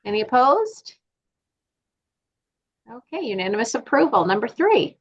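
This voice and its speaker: A woman chairing a formal board meeting. She calls votes in brisk, procedural phrases. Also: a little high, very animated, slightly rough. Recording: dull, lo-fi sound.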